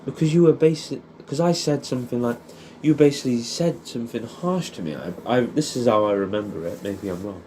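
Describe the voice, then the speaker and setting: Mellow-voiced English man reminiscing casually. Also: mid-range voice, expressive, fairly quick, hardly any pauses, a little hiss or hum. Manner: rambling, nostalgic, swears freely, tags questions onto statements. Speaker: audio creator. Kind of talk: casual intimate reminiscing addressed to an old friend.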